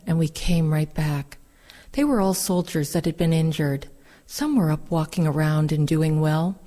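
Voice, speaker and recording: Mellow, very low-voiced woman reading. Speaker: reader of veterans' oral histories. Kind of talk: reading first-person war memoirs aloud.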